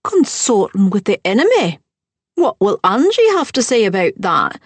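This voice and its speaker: A woman voicing a scowling, haughty stepmother who speaks in sharp, accusing tones. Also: mid-range voice, huge pitch swings, moderate pace, slightly rough, no room sound, voice pushed up. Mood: sneering.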